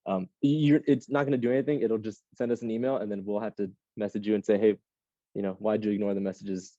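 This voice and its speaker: A young man teaching a live online class on computing clusters, a casual speaker who rambles with lots of ums and restarts and sounds slightly hoarse. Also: on the low side, fairly even intonation, fast, warm tone, dry recording, dull audio. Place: classroom with a Zoom audience.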